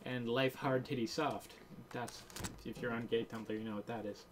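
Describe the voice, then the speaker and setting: A woman's voice: a bass voice, fairly even intonation, moderate pace, slight background noise. A woman co-hosting a gaming video in casual chat, with wry, teasing interjections.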